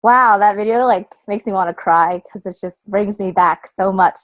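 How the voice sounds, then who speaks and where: A woman's voice: medium pitch, normal speed, a bit bright, no echo, poor audio. Chatty young woman, dry close sound. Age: young adult. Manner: chatty, uses many fillers like "like" and "so". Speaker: guest speaker. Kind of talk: casual personal talk.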